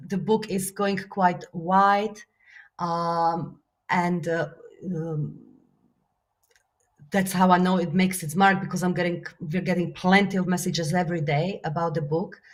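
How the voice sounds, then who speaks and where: Slovak woman, earnest and reflective. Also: mid-range voice. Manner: earnest, reflective, many fillers, laughs softly. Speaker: guest, business author and coach. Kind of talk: casual interview talk.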